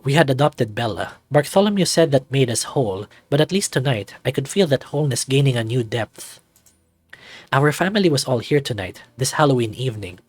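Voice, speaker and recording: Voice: medium pitch, no echo. A video host narrating a visual novel aloud expressively and addressing viewers casually.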